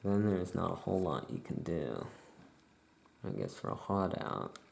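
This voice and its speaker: Soft asmr witch shopkeeper roleplay, low mellow voice, creaky, chill and unhurried musing. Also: very animated. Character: laid-back Salem witch shopkeeper chatting with a customer. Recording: faint background noise.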